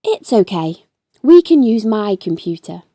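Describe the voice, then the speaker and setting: A woman voicing a calm, kind young ninja friend from a children's storybook, patient, gentle and encouraging as they explain things. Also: mid-range voice, measured pace, dry recording, clean studio sound.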